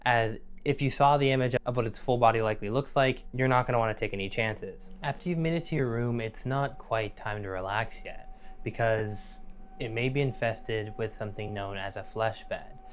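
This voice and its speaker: A man's voice: medium pitch, moderate pace, slight background noise. A man narrating a scripted survival guide in a conversational, explanatory way. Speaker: narrator and host. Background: background music.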